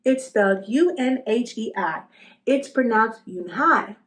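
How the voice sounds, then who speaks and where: Lady doing a shy little girl from a storybook, earnest n hesitant, high lively voice. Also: a little slow. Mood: quietly insistent. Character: shy young Korean girl, new at school.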